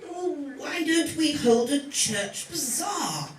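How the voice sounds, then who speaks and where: A woman's voice: high-pitched, sing-song, slow pace, full character voice. A woman playing an eager, naive village parishioner in an amateur comic radio play, earnest and exclamatory. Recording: echoey room, distant microphone.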